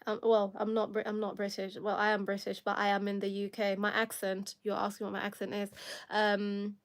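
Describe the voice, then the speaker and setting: Kenyan-accented woman host, casual rambling livestream talk, quick laughs and restarts, mid pitch, a touch tired. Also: monotone. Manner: rambling, self-correcting, laughs often.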